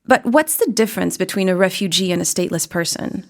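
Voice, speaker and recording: A woman journalist hosting a documentary podcast, in measured and reflective scripted narration that poses questions to the listener. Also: lowish voice, vocal fry. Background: music bed.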